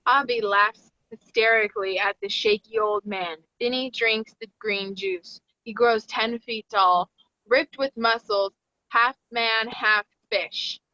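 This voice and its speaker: Bright-voiced woman reading stage directions. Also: fairly high pitch, slow, no echo. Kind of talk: scripted narration at a table read. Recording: dull, dry lo-fi audio.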